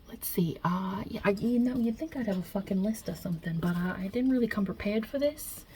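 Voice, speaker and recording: A woman's voice: mid-range voice, slight background noise, natural voice. A woman voicing a non-binary God as a brash, irreverent mob boss with a put-on Italian-American New York-New Jersey accent, wry and offhand, in an ASMR roleplay. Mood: flustered, offhand. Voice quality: husky, breathy, hushed. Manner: wry, offhand, blunt, hesitant pauses, swearing.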